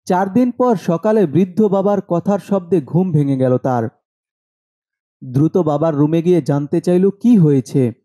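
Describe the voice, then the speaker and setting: A man's voice: on the high side, unhurried, warm tone. A man narrating a scripted horror story as the storyteller.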